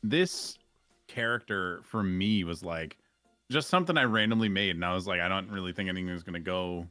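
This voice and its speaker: Male podcast guest, deep register, rapid casual talk, close dry sound with faint music. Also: sharp tone, no room sound. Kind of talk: casual chat.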